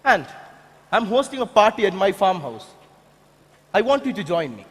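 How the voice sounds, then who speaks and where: A man acts out a self-righteous, ingratiating politician with an Indian accent in a stage play. His measured voice is husky and fairly high. It carries through a reverberant hall. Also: sing-song, exaggerated voice. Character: smooth-talking, self-righteous corrupt politician.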